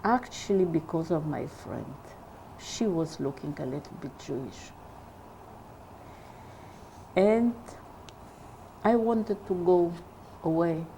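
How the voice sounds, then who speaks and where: An older woman tells her story slowly in an interview. Her voice is mellow and low in brightness. She stops often to search for the right English word, and a faint hiss runs under the recording. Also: animated, gravelly, rough recording. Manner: halting, searching for English words. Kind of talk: oral history testimony.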